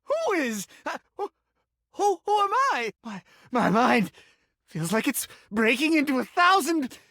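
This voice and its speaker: An eccentric talking sink from a video game, florid and pompous. The pitch swoops widely through slow, savored phrases, theatrical and a little unhinged, in a close, dry recording. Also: male voice, very animated, bright, forceful tone, no echo, heavily acted. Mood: frantic confusion. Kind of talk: video game character voice acting.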